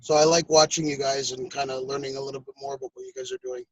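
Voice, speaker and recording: A man calling in, speaking casually and reflectively in halting, repeated phrases. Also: medium pitch, wildly swooping pitch, gravelly, no echo, low-quality recording. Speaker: call-in participant.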